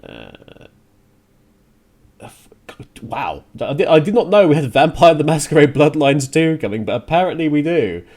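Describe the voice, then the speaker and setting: A man's voice: on the high side, sing-song, normal speed, long pauses, a little mellow. A man hosting a radio show solo in a casual, rambling way, thinking aloud and correcting himself as he goes. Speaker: radio show and podcast host.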